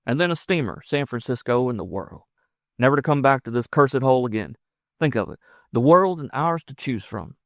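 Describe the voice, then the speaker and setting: A man reading as a bold, lovestruck miner from a frontier storybook. He pushes his words out with emphasis, by turns combative and coaxing, in a medium-pitched voice recorded dry and close. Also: lively intonation, brisk, no room sound, lightly acted. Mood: excited, boastful. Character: belligerent, ardent gold-rush miner in love, man. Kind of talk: audiobook character dialogue.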